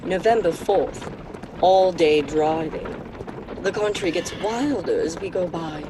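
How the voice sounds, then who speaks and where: An actress playing an anxious young Victorian woman in a gothic horror radio play, speaking in a deliberate, theatrical way. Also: lowish voice, noisy background, natural voice. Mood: grave. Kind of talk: dramatic radio-play acting. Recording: dramatic music behind the voice.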